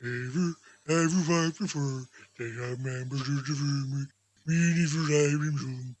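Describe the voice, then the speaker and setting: A woman voicing a jolly giant Christmas spirit for a storybook, hearty and grand, declaiming slowly. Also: very deep voice, steady tone, darkish tone, voice pushed down. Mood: hearty, booming.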